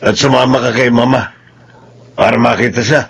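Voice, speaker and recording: A man's voice: medium pitch, fairly even intonation, moderate pace, gravelly, a bit bright, slightly rough. A man playing a stern, domineering father in a Filipino radio drama, harsh and accusing. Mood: angry, accusing.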